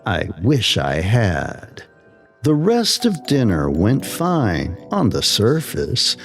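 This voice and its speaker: Slow, expressive male horror narrator. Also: on the low side, faint music behind. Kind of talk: slow-burn scripted story narration.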